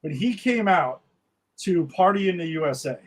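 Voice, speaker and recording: A man's voice: sits high, animated, normal speed, background noise. A man hosting a casual sports podcast, talking in a loose, rambling way with fillers. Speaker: podcast host. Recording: music playing loudly behind.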